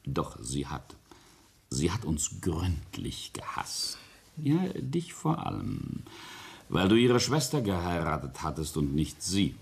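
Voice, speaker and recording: Husky, deep, arrogant patriarch. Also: male voice, big pitch swings, full character voice. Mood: bitter, self-justifying. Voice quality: husky, gravelly. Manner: deliberate, dramatic, self-justifying. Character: arrogant, coldly defiant family patriarch confessing a murder. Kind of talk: radio play acting.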